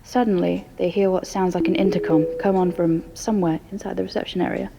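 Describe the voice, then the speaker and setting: A woman's voice: lowish voice, steady tone, quick pace, gravelly, noisy background. A woman reading scripted present-tense story narration as the narrator of an audio drama.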